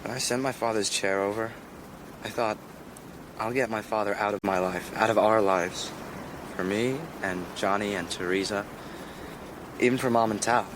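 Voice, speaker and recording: A man's voice: lowish voice, moderate pace, long pauses, noisy background, natural voice. A young actor playing a troubled, lonely, intense teenage boy in a naturalistic radio drama. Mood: brooding.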